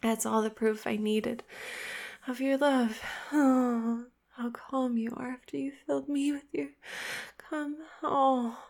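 A woman voices a possessive young lover who giggles and coaxes, sinking to hushed whispers. Her voice sits high and bright. She drags each word out slowly and sweetly. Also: steady tone, creaky, full character voice. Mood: breathless. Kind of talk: intimate audio roleplay.

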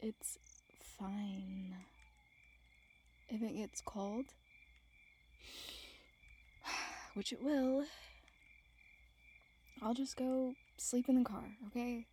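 A woman playing a grumpy, teasing young woman on a camping trip in an intimate audio roleplay, breathy and sarcastic. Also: fairly high pitch, fairly even intonation, drawn-out words, long pauses, dark tone, a little hiss or hum. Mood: apologetic, sulky.

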